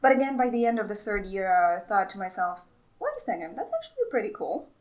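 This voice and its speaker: A woman's voice: mid-range voice, big pitch swings, fast talker. Giggly woman searching for words. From Morocco. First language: Arabic. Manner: chatty, giggly, self-deprecating, searches for words. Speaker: guest. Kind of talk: casual interview.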